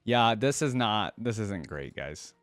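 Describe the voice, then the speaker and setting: A man's voice: fairly low pitch, sing-song, slow, a bit bright, dry recording. A man hosting a reaction video, commenting casually and informally as he thinks aloud.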